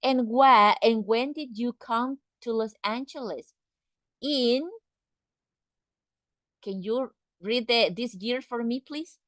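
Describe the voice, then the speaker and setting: A woman's voice: a little high, slow, long pauses, a bit bright, dry recording, studio quality. A woman teaching an English class, patiently asking students questions and repeating words to drill pronunciation. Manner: asks students direct questions.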